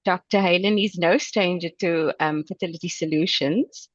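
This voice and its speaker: A woman's voice: on the low side, moderate pace, dry recording. Woman host with a dull, dry sound. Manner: polite, brief prompts and acknowledgements. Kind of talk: interview hosting.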